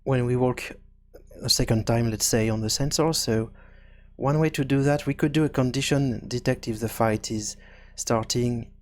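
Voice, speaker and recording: A man giving an informal software tutorial, thinking aloud in a halting, self-correcting way. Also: mid-range voice. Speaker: tutorial presenter.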